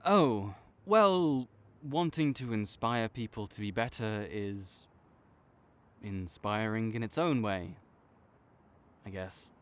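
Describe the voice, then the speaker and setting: A man playing an arrogant, teasing supervillain rival with a soft spot, speaking theatrically to the listener in an audio roleplay. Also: fairly low pitch, slow, clear, resonant tone. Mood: reluctantly tender. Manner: smug, teasing, theatrical with sighs. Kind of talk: dramatic roleplay monologue spoken to the listener.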